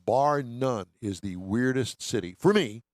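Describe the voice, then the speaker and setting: A man's voice: medium pitch, lively intonation, a little slow, darkish tone, dry recording, studio quality. A man hosting an automotive radio show, reading items and bantering in a wry, emphatic way.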